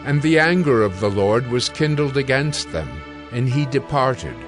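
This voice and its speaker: A man with a middling pitch reads scripture as narrator. His delivery is measured and solemn, with music clearly behind him. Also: lively intonation. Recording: music bed under the voice.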